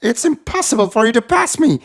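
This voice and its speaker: A woman voicing a vain, mocking storybook rabbit who brags in an exaggerated, drawn-out way. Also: fairly high pitch, very animated, creaky, exaggerated voice. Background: music.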